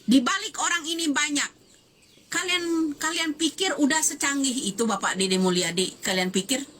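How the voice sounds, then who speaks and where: Woman, fifties, fiery, emphatic, repetitive, high bright voice. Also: normal speed, a little hiss or hum. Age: 50s. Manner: fiery, emphatic, repeats phrases and demands answers. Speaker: social media commentator. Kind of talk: impassioned video statement.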